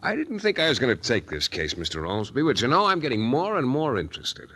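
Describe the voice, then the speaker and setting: A man's voice: mid-range voice, huge pitch swings, fast, sharp tone, husky, full character voice. An American man playing a wisecracking, hard-boiled private detective in 1940s radio style, wry, breezy and slangy. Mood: cool defiance. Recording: noisy 1940s radio transcription recording.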